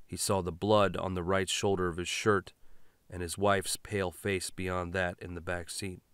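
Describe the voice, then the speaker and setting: A man's voice: sits low, flat delivery, normal speed, fairly bright tone, clear, resonant tone, dry recording. A man reading scripted fiction as an audiobook narrator.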